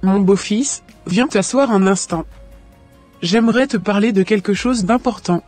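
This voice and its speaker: A woman playing a wise, conciliatory mother-in-law in a scripted cartoon drama, giving advice in a measured way. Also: medium pitch, a little mellow, faint music behind, close to an everyday voice. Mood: calm, advising. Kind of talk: scripted animated drama dialogue.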